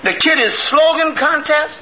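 A man's voice: very high-pitched, moderate pace, muffled recording. An actor playing a sly, needling male bar pianist in a 1940s radio comedy. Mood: mock surprise. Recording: very noisy old radio broadcast.

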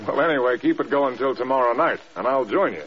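A man's voice: mid-range voice, fast talker, natural voice. An American man playing a driven, terse air force general in an old radio drama. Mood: weary, terse. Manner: terse, commanding. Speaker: lead actor. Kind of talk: mid-century radio drama acting. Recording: muffled old radio broadcast, loud aircraft noise.

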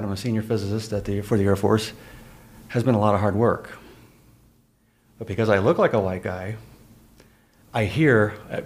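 A middle-aged man whose first language is Spanish, speaking in a measured, matter-of-fact way in a casual conversation. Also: lowish voice, a little mellow.

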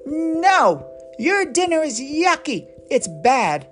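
A woman doing a squeaky, excitable little boy. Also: moderate pace, soft background music. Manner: squealing, whiny, playful. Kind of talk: storybook character voice.

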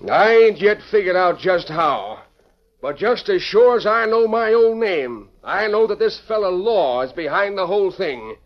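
An actor playing a blustering, bullying crooked land promoter in an old western radio drama. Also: male voice, sits high, lively intonation, measured pace. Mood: angry bullying. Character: blustering crooked land promoter, a man, quick-tempered boss. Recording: muffled old lo-fi broadcast recording.

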